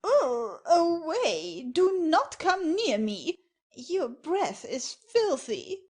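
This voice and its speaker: Woman as a shrill-pitched, haughty older gentlewoman. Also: big pitch swings, drawn-out words, heavily acted. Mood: haughty disgust. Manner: haughty, disdainful. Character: haughty, disgusted older gentlewoman mother-in-law. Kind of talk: audio play of classic stage comedy.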